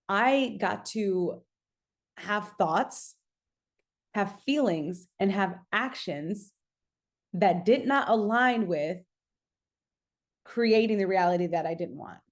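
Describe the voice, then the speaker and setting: A woman's voice: mid-range voice, lively intonation, takes it slowly, pauses often. A woman hosting a casual motivational podcast, speaking emphatically, repeating key phrases and checking in with the listener as she coaches. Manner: acts out own thoughts as quoted lines. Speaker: podcast host and singing artist coaching listeners.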